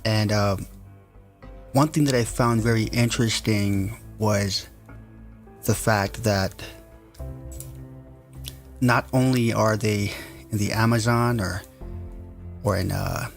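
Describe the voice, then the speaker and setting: Low gravelly guy streaming, casual rambly chat, lots of um and like, faint music under him. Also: fairly even intonation, takes it slowly, pauses often. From Samoan descent. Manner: chatty, filler-heavy. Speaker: stream host.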